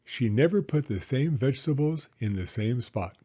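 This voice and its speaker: A man's voice: medium pitch, expressive, normal speed, mellow, slightly rough, dull audio. A man narrating a children's picture book aloud as a storyteller.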